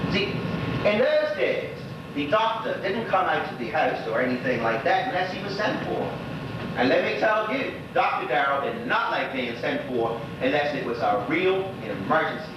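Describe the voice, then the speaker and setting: Echoey, distant man acting an old Bermudian widower. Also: a little high. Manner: rambling, folksy, gossipy storytelling. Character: lonely old Bermudian widower, chatty, wistful neighbourhood storyteller. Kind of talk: stage monologue in conversational dialect. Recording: echoey stage recording from afar, noisy, dull.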